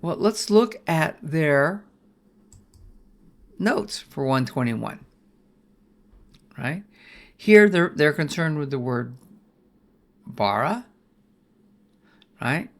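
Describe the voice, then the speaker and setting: Gravelly older man teaching Bible study. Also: a little high, expressive, drawn-out words, long pauses. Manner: folksy, rambling, with many restarts and "right?" checks. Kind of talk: screen-share Bible study lesson.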